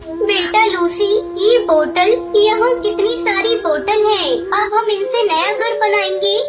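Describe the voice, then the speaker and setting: A woman voicing a small, plucky, caring mother songbird in a children's cartoon, earnest and expressive. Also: very high-pitched, slow. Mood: tender. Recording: loud music under the voice.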